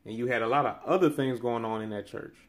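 A man in his thirties talks through scripture in an earnest, preacherly way, his voice climbing higher and stronger whenever he drives a point home. His pitch sits in the ordinary middle range for a man. He records on a phone held close in a small room. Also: quick pace. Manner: preacherly, rising in pitch and force on key phrases. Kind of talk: earnest Bible-teaching commentary. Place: small room indoors by window blinds. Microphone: phone camera held close.

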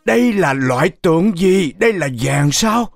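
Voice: mid-range voice, expressive, normal speed, vocal fry. An actor voicing a grumpy, bumbling, greedy wolf villain in a children's cartoon, whining and complaining. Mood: greedy scheming. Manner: whiny, complaining, exclamatory. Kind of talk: cartoon fairy tale dub. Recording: music behind the voice.

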